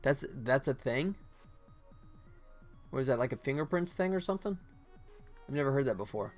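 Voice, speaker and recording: A man's voice: medium pitch, expressive, moderate pace, faint music behind. A man livestreaming as he works, thinking aloud in casual chat, sometimes muttering to himself. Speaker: livestream host, game animator.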